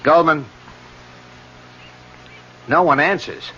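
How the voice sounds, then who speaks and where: A police lieutenant in an old radio crime drama. He is brisk and matter-of-fact, with a hard, bright edge to his voice. The recording sounds dull and dated. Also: on the high side, slight background noise. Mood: brisk, puzzled. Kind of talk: 1970s radio drama acting.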